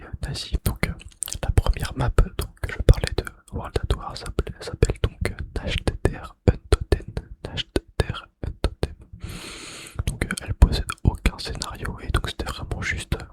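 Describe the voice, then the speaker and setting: A man speaking in a breathy, unvoiced whisper close to the mic, sharing gaming trivia ASMR-style and softly repeating key phrases. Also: on the high side. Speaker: ASMR video creator. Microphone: close microphone.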